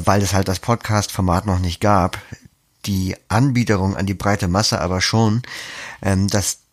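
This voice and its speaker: A man giving articulate, reflective, explanatory answers as an interview guest. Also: fairly low pitch.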